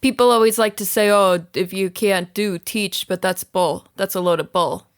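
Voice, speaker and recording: A woman's voice: medium pitch, steady tone, normal speed, clean tone, close to an everyday voice. A woman playing a plainspoken, gung-ho veteran school coach in improvised comedy, folksy and emphatic. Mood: folksy, determined. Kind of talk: improvised comedy podcast role-play.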